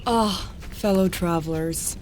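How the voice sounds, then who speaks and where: A rich, jaded woman traveler in an audio comedy. She speaks in a lowish voice with theatrical, affected haughtiness, over faint ambient noise. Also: slow, darkish tone, rough recording. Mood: haughty, bored. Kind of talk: audio comedy drama acting.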